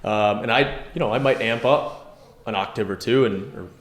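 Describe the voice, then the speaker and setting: Earnest male co-host with a big, loud voice in a roomy space. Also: fairly low pitch, normal speed. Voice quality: loud, carrying voice. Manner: earnest, energetic, self-reflective. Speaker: podcast co-host. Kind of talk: casual devotional discussion. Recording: echoey room with faint noise.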